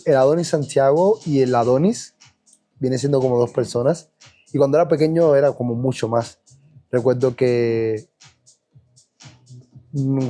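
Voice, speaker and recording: Mellow-voiced Cuban man telling his story. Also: medium pitch. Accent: Cuban Spanish. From Cuba. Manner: reflective, unhurried, with many fillers and restarts. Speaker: guest dancer. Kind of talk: podcast interview, personal storytelling.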